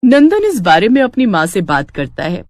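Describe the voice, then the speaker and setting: Animated female storyteller. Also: mid-range voice, normal speed, dry recording, clean studio sound. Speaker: story narrator. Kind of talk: dramatic scripted moral-story narration.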